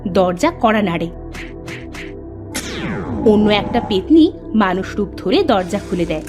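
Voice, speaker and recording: A woman's voice: mid-range voice, animated, a little slow. A woman storyteller reading scripted narration for a cartoon fairy tale. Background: background music.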